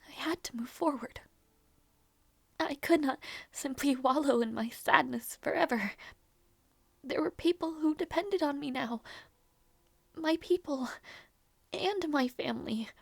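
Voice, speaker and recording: High-pitched grieving young princess, voiced by a woman. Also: vocal fry, no echo. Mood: mournful. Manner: halting, repeats phrases, emotional. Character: grieving young princess, devoted and stubbornly hopeful. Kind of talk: dramatic audio roleplay monologue.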